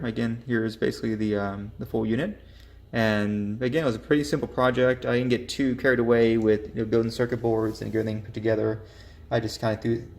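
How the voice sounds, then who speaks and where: An American man giving a casual DIY demonstration of a hobby project, speaking in a hesitant, halting, informal way. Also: fairly low pitch, vocal fry, darkish tone. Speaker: hobbyist maker presenting a project.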